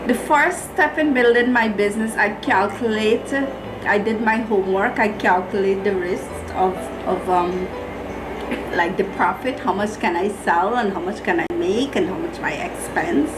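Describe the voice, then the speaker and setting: Woman, middle-aged, Guyanese accent, colloquial interview storytelling, mid-pitched voice, clear background music. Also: normal speed, poor audio. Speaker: small business owner being interviewed. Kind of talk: personal storytelling, interview answers.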